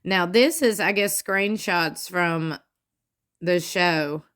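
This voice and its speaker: A woman, commenting on a reality show, reading fan posts aloud and adding wry asides while sitting in a squeaky chair at home. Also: slow pace, fairly bright tone. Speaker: commentary vlogger.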